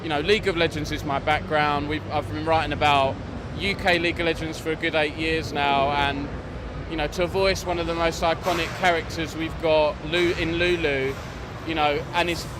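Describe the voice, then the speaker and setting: A man with a fairly high voice asks a guest about her work, hesitant and full of fillers and restarts. Clear background noise fills the outdoor festival recording, with passing vehicles. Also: steady tone, moderate pace. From United Kingdom. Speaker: esports news interviewer. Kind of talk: casual interview. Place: gaming festival.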